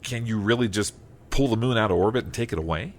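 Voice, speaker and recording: A man's voice: lowish voice, quick pace, a little hiss or hum, natural voice. A man playing a humble, plain-spoken farmer from Iowa in an audio drama, a little hesitant and folksy. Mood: uncertain, curious. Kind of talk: audio drama acting and first-person narration.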